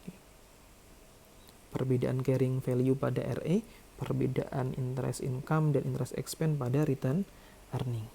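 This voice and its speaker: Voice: mid-range voice, little pitch movement, measured pace, gravelly, long pauses, warm tone, a little hiss or hum. An accounting lecturer explaining calculations step by step in a didactic way. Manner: didactic, checks with "ya" and "oke".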